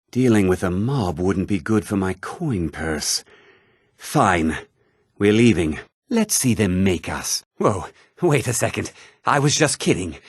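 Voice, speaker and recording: A haughty townsman from a fantasy video game, condescending and pompous. The voice is husky and a little low, swinging widely in pitch as he delivers his dismissive lines. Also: no echo, studio quality, exaggerated voice. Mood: gruff, cocky.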